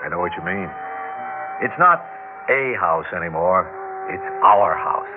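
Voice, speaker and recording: A genial, wry American family man in a vintage radio play. His lowish voice moves expressively through its range. The old recording is dull, with music. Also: very animated, measured pace, heavy background noise, exaggerated voice. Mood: proud. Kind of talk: 1960s radio drama acting.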